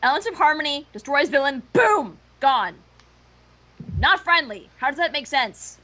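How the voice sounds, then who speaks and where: Animated, bright-voiced woman riffing. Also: high-pitched, normal speed. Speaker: fan fiction co-reader and commentator. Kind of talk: casual riffing commentary.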